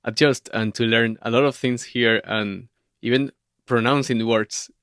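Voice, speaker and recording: Smooth-voiced Venezuelan-accented man. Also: mid-range voice, fairly even intonation, dry recording. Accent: Venezuelan Spanish. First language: Spanish. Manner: warm, affirming, measured questions. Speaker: podcast host. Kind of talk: interview podcast. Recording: dry close mic.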